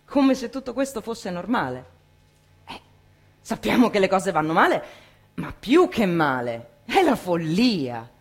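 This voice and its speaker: A woman reciting a dramatic theatrical monologue in an impassioned, emphatic way, with deliberate pauses. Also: medium pitch, sing-song, breathy edge.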